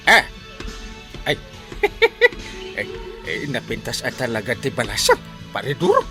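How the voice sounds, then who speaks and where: Giggly, wildly swooping flirtatious village captain. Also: male voice, mid-range voice, wildly swooping pitch, moderate pace. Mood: smitten. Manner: giggly, stammering, exclaiming. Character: flirtatious tipsy male village captain, giggly, easily smitten. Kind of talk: comedy radio drama acting. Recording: music playing behind the voice.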